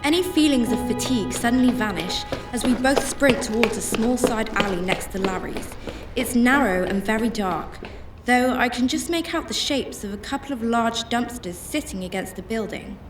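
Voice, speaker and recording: A woman performs an anxious, tender heroine telling her own story in a radio play. Her voice is a little high and earnest, set back from the mic in an echoey space. Music plays underneath. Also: normal speed, natural voice. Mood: tense. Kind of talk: first-person radio drama narration. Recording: echoey, distant-sounding with music bed.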